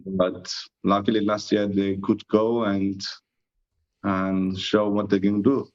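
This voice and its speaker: A man's voice: lowish voice, little pitch movement, takes it slowly. A man with an Estonian accent, answering interview questions in an easygoing, modest way. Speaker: guest, disc golf YouTuber. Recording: muffled lo-fi audio.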